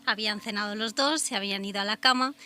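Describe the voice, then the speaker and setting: A woman's voice: mid-range voice, quick pace, bright, forceful tone. A woman with a Spain Spanish accent discussing true crime conversationally as a radio co-host.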